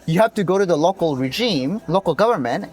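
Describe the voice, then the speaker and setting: A man in his thirties from North Korea, speaking English as a second language to a stranger outdoors. His voice sits slightly high, and he picks his way through sentences with many fillers and restarts. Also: animated, fast. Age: 30s. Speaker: interviewee, defector. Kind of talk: casual street interview.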